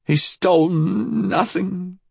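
Man putting on a high, hissing, vicious old woman. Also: sing-song, no room sound, full character voice. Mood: frightened, defensive. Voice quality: hissing, husky. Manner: slow, cunning, menacing, formal. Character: frail, vicious, calculating old blind woman.

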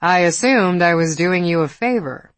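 A cool, guarded New York woman, as voiced by a female audiobook reader. She speaks in a measured, wry deadpan with a slightly low voice. The recording is dry and close. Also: no room sound, lightly acted. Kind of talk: audiobook character dialogue.

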